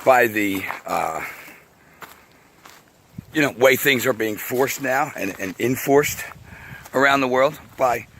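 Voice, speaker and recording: A man with a raspy voice talks casually to camera outdoors, rambling, swearing, and laughing often as he goes. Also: medium pitch, slow pace, long pauses, fairly bright tone, a little hiss or hum. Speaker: vlogger. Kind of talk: casual outdoor video monologue. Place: outdoors by a path.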